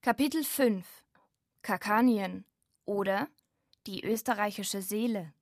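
A woman's voice: medium pitch, slow, no room sound, clean studio sound. A woman presenting a radio feature in scripted narration.

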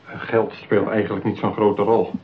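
A man's voice: on the low side, little pitch movement, measured pace, a little hiss or hum, close to an everyday voice. An actor playing a shy, lonely male customer in a radio play, speaking in a hesitant, bashful way. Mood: lonely, wistful. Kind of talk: radio drama acting. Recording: tinny, narrow-band old radio play.

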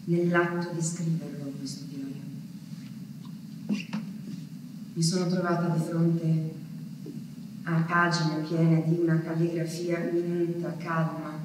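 An actress playing an anguished wife awaiting her husband's return from war, delivering a theatrical diary monologue in halting, deliberate fragments. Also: on the low side, close to an everyday voice. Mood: grave, reflective. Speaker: stage actress performing a monologue. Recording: very echoey hall, distant mic, noisy.

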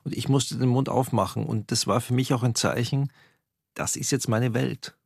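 A man voicing an ensemble actor with a gravelly, husky voice who looks back resolutely. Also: medium pitch, fairly even intonation, normal speed, no room sound, studio quality. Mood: reflective.

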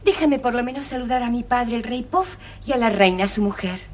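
A woman's voice: on the high side, brisk, natural voice. Woman playing a distant, pleading young princess. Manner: soft pleading. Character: gentle, docile, pleading young storybook princess. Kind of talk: recorded children's storybook dramatization.

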